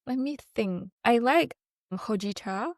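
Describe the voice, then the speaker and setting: A woman's voice: on the high side, lively intonation, slow pace, dry recording, clean studio sound. A woman from Singapore chatting casually as an interview guest, talking in an informal, chatty way. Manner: chatty, informal, frequent "like" and "you know". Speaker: interview guest, fantasy novelist. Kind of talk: casual chat.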